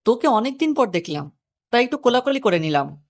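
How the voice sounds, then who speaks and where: Woman doing flirty clingy college guy, soft wheedling talk, audio romance story. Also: a little high, very animated, a little fast, pitched above the performer's own voice. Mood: apologetic, wheedling.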